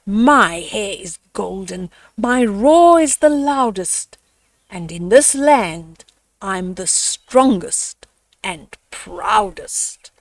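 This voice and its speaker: Lady doing a big proud lion king for a kids story, boastful, slow n commanding, bit far from mic. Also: medium pitch, lively intonation, no room sound. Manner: purring, boastful. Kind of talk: children's storybook read-aloud.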